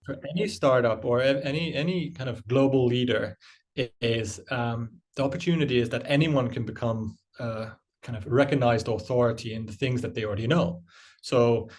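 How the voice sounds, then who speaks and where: A man of Finnish origin speaks as a guest on an interview podcast. He thinks aloud, pausing on fillers and restarting phrases. His voice sits at an ordinary middle pitch. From Finland. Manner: hesitant, many fillers and restarts. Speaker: guest, marketing executive. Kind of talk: conversational interview answers.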